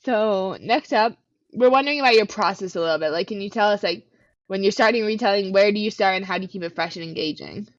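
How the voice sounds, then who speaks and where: A woman's voice: medium pitch, quick pace, dry recording. A woman co-hosting a podcast, chatting casually and informally with some slang. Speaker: podcast co-host, author. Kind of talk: casual interview chat. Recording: dull, slightly lo-fi microphone.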